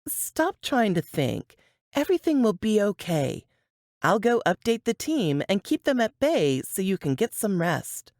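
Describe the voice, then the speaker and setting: A woman voicing a caring, upbeat foster sister in an audiobook, affectionate and gently teasing. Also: medium pitch, sing-song, unhurried, no echo, studio quality, pitched above the performer's own voice. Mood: reassuring.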